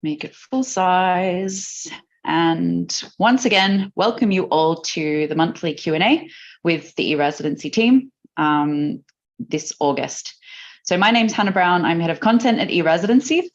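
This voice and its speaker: Australian woman host for a live online Q&A, lower voice, chatty with ums, muffled call audio. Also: animated, unhurried. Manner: friendly, chatty, with fillers. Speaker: webinar host. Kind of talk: live webinar hosting. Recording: dull lo-fi video call audio.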